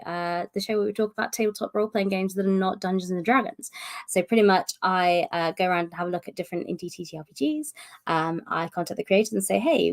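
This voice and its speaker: A woman's voice: mid-range voice, creaky. A woman hosting a casual live-stream update, chatty and rambling with many fillers, her voice strained from a cough. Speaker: host of publisher's live stream.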